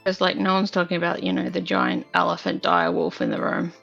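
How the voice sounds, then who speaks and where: Woman player, super creaky voice, defensive, lots of likes, dull audio. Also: on the low side, sing-song, a little fast, fairly bright tone, soft background music. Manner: defensive, filler-heavy. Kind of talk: casual tabletop roleplay.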